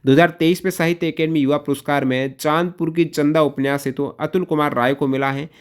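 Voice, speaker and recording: A man's voice: fairly high pitch, fairly even intonation, fairly quick, clear, resonant tone. A man teaching an exam-prep lesson question by question, posing questions and repeating key answers in an instructional way.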